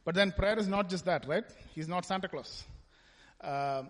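Man, high voice, giving a chatty church testimony, echoing in a big hall. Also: normal speed. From Kerala, India. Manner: conversational, tags lines with "right?". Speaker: church speaker. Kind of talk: personal testimony talk at church. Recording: long hall reverb.

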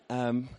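Husky-voiced man preaching in a hall. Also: a little mellow, a little hiss or hum. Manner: chatty, storytelling, asks the audience. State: hoarse from losing his voice. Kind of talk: sermon with personal stories. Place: church hall. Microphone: microphone. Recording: reverberant church hall.

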